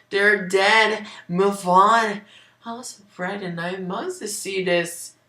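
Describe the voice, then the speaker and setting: A man's voice: high voice, bright, forceful tone. A man giving an amateur dramatic reading of fan fiction, with sarcastic, sweary asides. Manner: sarcastic, sweary, often breaking into laughter. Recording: roomy, echoey home recording.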